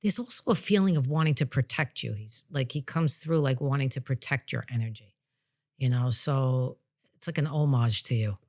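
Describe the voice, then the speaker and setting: A woman speaking as a psychic medium guest, explaining things in a casual, conversational way with halting restarts. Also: a bass voice, darkish tone.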